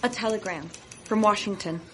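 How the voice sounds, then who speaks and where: An actress playing a prim, stern office secretary in a radio drama, formal and reproving. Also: female voice, medium pitch, moderate pace, fairly bright tone, faint music behind, natural voice.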